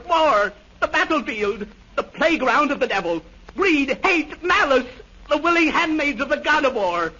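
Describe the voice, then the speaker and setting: Impassioned young pacifist, high forceful voice. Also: male voice, breathy edge, full character voice. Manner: oratorical, earnest declaiming. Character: young pacifist conscientious objector, gentle but impassioned. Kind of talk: 1930s radio acting. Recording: dull, noisy 1930s radio recording.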